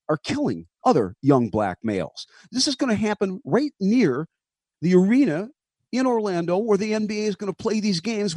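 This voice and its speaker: A man's voice: mid-range voice, a little mellow, dry recording, clean studio sound. A man hosting a sports talk show, pressing his co-hosts with questions and reading out news items. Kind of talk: sports talk radio discussion.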